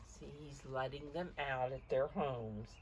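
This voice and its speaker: A woman reading a picture book aloud to young children, expressively, pausing to explain the pictures in simple words. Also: very deep voice, slight background noise. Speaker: storybook reader for preschoolers.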